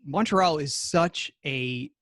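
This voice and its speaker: A man from New Hampshire co-hosts an interview, asking questions with a friendly, joking manner. His voice sits fairly high for a man, and it is recorded close and dry with no room sound. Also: slow, a bit bright, no echo. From USA, New Hampshire. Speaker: podcast co-host. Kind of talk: casual interview chat.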